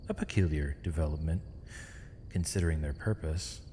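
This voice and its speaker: Casting: male actor for misunderstood villain mage in chains, low mellow voice, gentle and eloquent, intimate ASMR roleplay in a reverberant space. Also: a little hiss or hum. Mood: tender, soft. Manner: measured, formal, poetic phrasing with thoughtful pauses. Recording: long reverb, faint night insect ambience.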